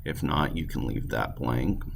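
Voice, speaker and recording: Male classroom teacher, extremely deep and gravelly, casual explainer and reader. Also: unhurried, a little hiss or hum. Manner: informal, explanatory. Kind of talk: classroom read-aloud instruction.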